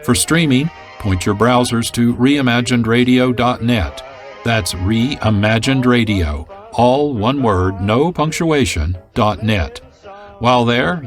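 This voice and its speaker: An American man reading a scripted closing announcement as the host and producer of a radio program. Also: mid-range voice. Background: music.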